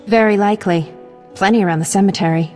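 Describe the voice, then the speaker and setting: A woman's voice: medium pitch, fast, a little mellow, soft background music, lightly acted. A woman voicing a confident, professional medic in a video game, clinical and matter-of-fact. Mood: clinical, brisk. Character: brisk, confident young female medic, proud professional. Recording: long hall-like reverb.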